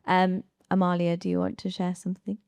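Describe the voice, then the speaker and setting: A woman's voice: lowish voice, completely flat delivery, fairly quick, a little mellow, clean tone, no echo. A young woman hosting a live discussion in an informal, encouraging way. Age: young adult. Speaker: host, neuroscientist. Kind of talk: casual live podcast moderation.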